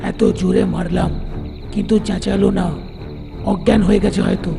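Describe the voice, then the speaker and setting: A man voicing a sly, menacing skeleton ghost in a cartoon, with a gravelly, croaky voice. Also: sits high, fairly even intonation, normal speed. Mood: scheming. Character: scheming cave ghost shaped like a monkey skeleton. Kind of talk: animated cartoon voice acting. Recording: long cavernous reverb over music.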